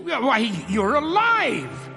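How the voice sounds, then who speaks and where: A gravelly old inventor father. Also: very high voice, wildly swooping pitch, drawn-out words, in a large hall, music in the background, heavily acted. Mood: anxious. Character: kindly old male inventor, worried father.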